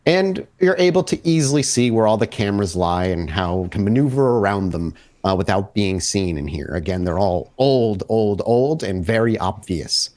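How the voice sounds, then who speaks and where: A man's voice: lowish voice, animated, normal speed, hardly any pauses, darkish tone. A man improvising narration as the game master of a tabletop roleplaying game, descriptive and accommodating with players.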